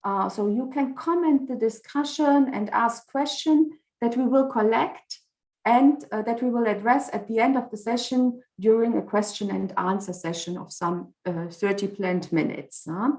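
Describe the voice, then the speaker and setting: A woman moderating an online debate over a video call, speaking in a formal, measured and orderly way. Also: fairly high pitch, darkish tone, reverberant, low-quality recording.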